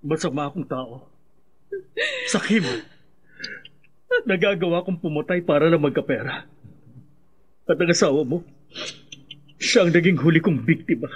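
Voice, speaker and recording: Husky, guilt-ridden Filipino husband. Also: male voice, a little high. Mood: remorseful. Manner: halting, heavy, remorseful delivery. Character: guilt-ridden husband confessing to robbery and killing. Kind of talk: Filipino radio drama acting.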